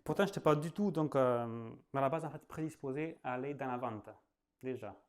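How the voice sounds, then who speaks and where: A man's voice: mid-range voice, a little fast. Southern French man, chatty and loose. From southwest France. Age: 30s. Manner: chatty, loose, full of fillers, laughs at himself. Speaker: sales trainer. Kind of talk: casual spoken masterclass.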